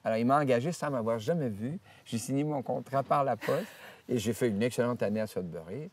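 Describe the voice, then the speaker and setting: A man, a priest telling stories from his life in an interview, rambling with many hesitations and restarts and lively retelling of what others said. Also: medium pitch, a little fast, husky, recorded from a distance. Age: 70s. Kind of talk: storytelling interview. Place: outdoors in nature.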